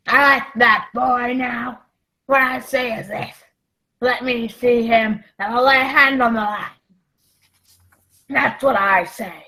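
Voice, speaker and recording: A woman playing a scheming, blustering male pirate ringleader in a theatrical radio play, with a gravelly voice. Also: a little high, sharp tone, heavily acted, rough recording. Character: Long John Silver, commanding, scheming male pirate ringleader. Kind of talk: stage-style radio play acting.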